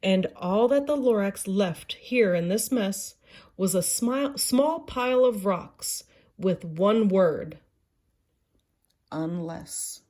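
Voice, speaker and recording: A woman's voice: medium pitch, lively intonation, takes it slowly, a little mellow. A woman reading a children's storybook aloud expressively, dramatic and lingering on key words. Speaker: storybook reader.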